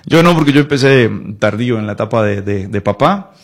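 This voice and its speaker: A Costa Rican man in his late forties speaking as an interview guest, in a halting, reflective, conversational way, often repeating words and adding small tags for agreement. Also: medium pitch, lively intonation. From Costa Rica, Palmares in the western Central Valley. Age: late 40s. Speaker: interview guest, writer and designer.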